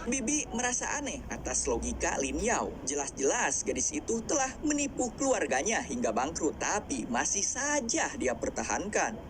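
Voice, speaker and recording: A man's voice: a little high, wildly swooping pitch, moderate pace, few pauses, background noise. A man with a slightly congested, flu-affected voice narrates a film recap in a dramatic storytelling style.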